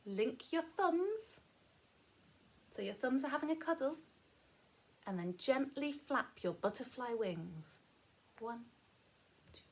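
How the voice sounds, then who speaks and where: Woman, primary teacher, slow pace, lively high voice, gentle encouraging story reading for young children. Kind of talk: children's story read-aloud with chatty asides.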